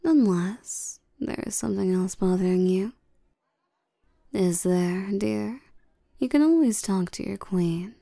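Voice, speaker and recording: A woman playing a regal, seductive and domineering vampire queen in a scripted roleplay audio drama, coaxing and commanding the listener with soft menace. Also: medium pitch, animated, takes it slowly, gravelly, dry recording, clean studio sound, exaggerated voice. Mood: smooth, probing.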